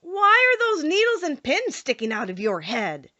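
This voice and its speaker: A woman voicing a gentle, grateful tin man in a storybook, speaking politely and sincerely. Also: sits high, huge pitch swings, moderate pace, no room sound, lo-fi sound, voice pushed up, heavily acted. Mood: curious.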